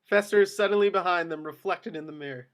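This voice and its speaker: A man's voice: high voice, fast talker, fairly bright tone. A man narrating scene headings and stage directions for a script table read.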